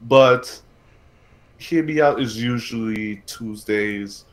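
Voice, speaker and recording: Man, podcast host, mid-pitched voice, casual chat, halting delivery, repeated words. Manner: halting, repeats words while thinking aloud. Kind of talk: casual chat about films and games.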